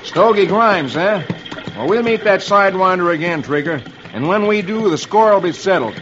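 A man's voice: high voice, expressive, moderate pace, fairly bright tone, pitched above the performer's own voice. A man voicing a confident, plucky cowboy hero with a firm, hearty manner, in an old radio comic-strip reading. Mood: determined. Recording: noisy, muffled old radio recording.

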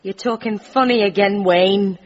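A woman playing a bossy, breezy, down-to-earth character with a British accent in a comedy audio drama, brisk and scolding. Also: mid-range voice, lightly acted. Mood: unimpressed. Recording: dull recording with slight hiss.

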